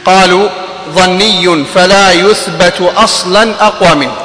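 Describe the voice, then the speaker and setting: Bright-voiced man reciting a text. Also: sits high. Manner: measured recitation of short passages. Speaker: student reading the text aloud. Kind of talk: reading a classical text aloud. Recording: echoey hall.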